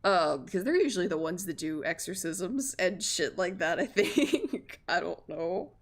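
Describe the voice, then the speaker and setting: A woman livestreaming a visual novel, chatting and reacting aloud to the characters in an informal, expressive way. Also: lowish voice, measured pace. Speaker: streamer playing a visual novel.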